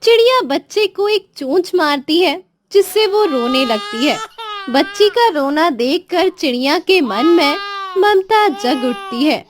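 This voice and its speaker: A woman's voice: very high-pitched, expressive, measured pace, a little hiss or hum. A woman narrating a scripted children's story. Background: music.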